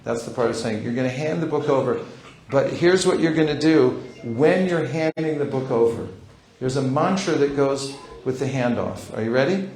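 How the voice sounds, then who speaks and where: A man's voice: mid-range voice, moderate pace, hardly any pauses, a little mellow. A man leading a live seminar, teaching with a playful manner and acting out short dialogues. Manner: playful, acts out little dialogues. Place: large hall. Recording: roomy hall sound.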